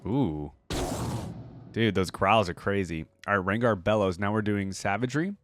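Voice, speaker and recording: A man's voice: lowish voice, moderate pace, noisy background. A young man giving casual, slangy reaction commentary, musing aloud as he goes. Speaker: reaction video host.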